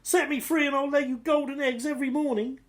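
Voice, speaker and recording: A woman voicing a small female hen in a storybook, pleading in a high, squawking voice. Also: fairly even intonation, fast, lightly acted.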